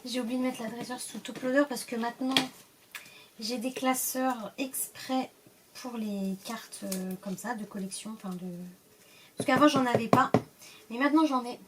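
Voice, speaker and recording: A woman's voice: on the high side, fairly even intonation, moderate pace, distant mic, background noise. A woman with a cold, casually opening card packs and reading the card names aloud, often hesitating and trailing off. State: stuffy from a cold. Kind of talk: casual pack-opening commentary.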